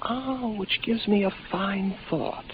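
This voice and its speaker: A man's voice: high voice, slow pace. An actor playing a weary, lonely young spaceman, wry and rambling, in a 1950s radio drama. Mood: wry, musing. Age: 20s. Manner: wry, musing, sardonic. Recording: noisy muffled 1950s radio recording.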